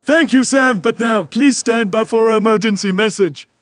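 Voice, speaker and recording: Very high-pitched man as a formal villager newsreader. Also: normal speed, no room sound, studio quality, lightly acted. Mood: formal, serious. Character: villager news anchor, formal and earnest. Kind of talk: scripted news bulletin.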